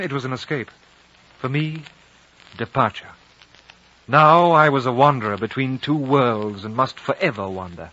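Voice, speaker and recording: Male actor as world-weary adventurer and veteran for vintage radio drama: measured, wistful, literate, bright-edged tone, dulled broadcast sound. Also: medium pitch, natural voice. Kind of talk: 1950s radio drama narration and dialogue. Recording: dull, band-limited 1950 radio broadcast recording.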